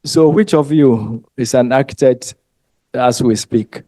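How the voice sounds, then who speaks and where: Slow, mellow Ghanaian man lecturing. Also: medium pitch, dry recording. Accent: Ghanaian English. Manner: unhurried, conversational, trails off with ums. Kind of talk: lecture to exam candidates.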